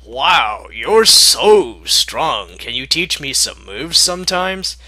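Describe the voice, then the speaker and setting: An excitable young pony girl from a cartoon, read by a man, brash and forward. The voice is very bright and sharp, in a dry, close recording. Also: medium pitch, slow, dry recording, heavily acted. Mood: excited. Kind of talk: fan fiction character reading.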